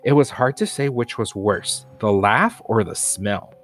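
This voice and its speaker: A man's voice: lowish voice, a little slow, soft background music. A man narrating a children's storybook aloud as a scripted reading. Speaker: storybook reader.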